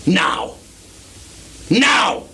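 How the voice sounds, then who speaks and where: An actor playing a mad, bitter old king in theatrical Shakespearean style, lurching between declamatory shouts and hushed mutters. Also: high-pitched, wildly swooping pitch, very slow, gravelly, exaggerated voice. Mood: frenzied. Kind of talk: theatrical Shakespearean stage recitation. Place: theatre stage before a live performance audience. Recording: 1980s live theatre recording, background noise.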